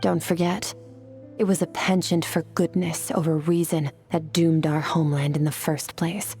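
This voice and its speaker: A woman voicing a cold, stoic swordswoman in a video game, stern, detached and measured. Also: deep voice, level tone, mellow, soft background music.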